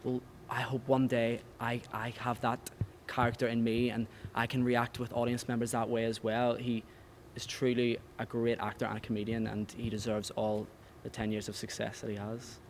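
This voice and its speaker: A man's voice: mid-range voice, monotone, normal speed. A young man, an actor giving a sincere interview. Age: young adult. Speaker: pantomime actor. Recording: faint background ambient noise.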